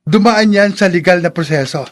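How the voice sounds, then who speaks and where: A man plays a greedy illegitimate son in a Filipino radio drama. His high-pitched voice coaxes with false politeness, sly and calculating beneath the deference. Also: normal speed, no room sound, studio quality, natural voice. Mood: smug, firm. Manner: polite coaxing with stammered repetitions.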